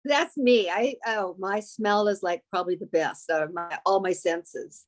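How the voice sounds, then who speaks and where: Woman co-host, friendly advice-giver, casual and filler-heavy, mid-pitched, on a video call. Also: moderate pace. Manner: friendly, gives step-by-step advice with many fillers. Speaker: co-host guiding the painter. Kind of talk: casual chat.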